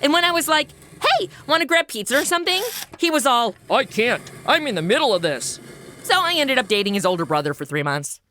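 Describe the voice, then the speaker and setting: A woman's voice: high-pitched, big pitch swings, brisk, pushed and bright, a little hiss or hum. A young woman making sarcastic, snarky asides as the lead in a comedy audio drama. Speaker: lead comic character.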